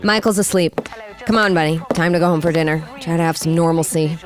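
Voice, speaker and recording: A woman's voice: low voice, fairly even intonation, quick pace, over music, close to an everyday voice. An actress playing a protective, brusque mother in a radio drama. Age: adult.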